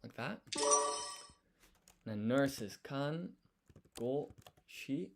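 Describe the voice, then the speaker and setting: Casting: male study streamer, mid-pitched voice, slow and thoughtful, casual chat, sounds words out piece by piece. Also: expressive, vocal fry, heavy background noise. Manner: thinking aloud, sounding out words syllable by syllable. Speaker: live streamer studying Japanese.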